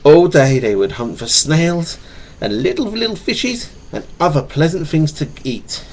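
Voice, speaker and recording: A man with a slight stutter reading a picture book to his young child in a playful, animated way, with casual asides, recorded on a home webcam. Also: a little high, a little slow. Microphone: webcam mic.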